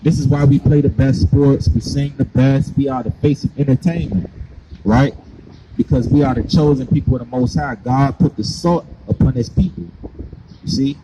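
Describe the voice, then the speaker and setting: A man's voice: medium pitch, steady tone, normal speed, background noise. A man preaching on the street, pressing his points with repeated questions. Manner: insistent, hammers points with repeated "right?".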